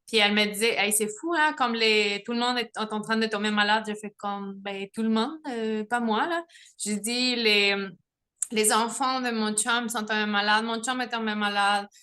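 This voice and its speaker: Young woman from Mexico, home video call, bright and fairly high voice, chatty. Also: fairly even intonation, normal speed. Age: young adult. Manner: chatty, laughing, many fillers and restarts. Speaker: testimonial speaker. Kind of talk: casual spoken testimony. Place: home, with children nearby.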